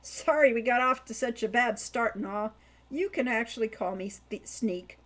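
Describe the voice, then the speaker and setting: A woman's voice: a little high, moderate pace, a bit bright. A woman voicing an awkward, good-natured young male thief in an audiobook, slangy and folksy with a stammer. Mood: sheepish, chummy.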